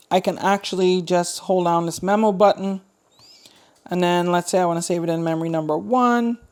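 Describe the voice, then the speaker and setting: A woman's voice: lowish voice, a little mellow, clear, resonant tone. A woman giving a casual, chatty product review, thinking aloud as she demonstrates. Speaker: host of a radio review channel.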